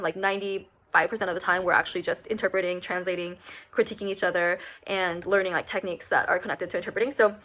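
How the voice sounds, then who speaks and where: Fast-talking young woman. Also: medium pitch, fairly bright tone. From United States. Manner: chatty, many fillers like "um" and "like". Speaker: recent graduate. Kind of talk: casual talk to camera.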